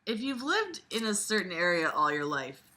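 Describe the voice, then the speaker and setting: A woman's voice: lowish voice, big pitch swings, moderate pace, sharp tone, exaggerated voice. A woman voicing a Southern Belle with a Southern US accent, narrating a story in a theatrical manner. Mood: drawling, storytelling.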